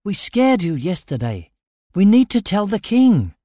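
A man's voice: very high voice, very animated, slow pace, darkish tone, dry recording, studio quality, pitched above the performer's own voice, heavily acted. A man voicing the impatient, bewildered hero of a story, firing off blunt, urgent questions.

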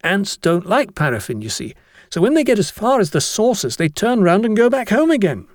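A man's voice: high voice, very animated, brisk, no echo, clean studio sound, pitched above the performer's own voice. A man doing an earnest young schoolboy. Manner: earnest, explaining patiently. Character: eager schoolboy explaining an ant trap.

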